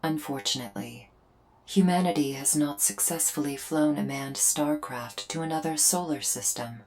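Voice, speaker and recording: Low female voice, woman acting as solemn alien invader, formal, measured, deliberate pauses, ASMR audio roleplay, music underneath. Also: a little mellow, natural voice. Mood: solemn, explanatory. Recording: music behind the voice.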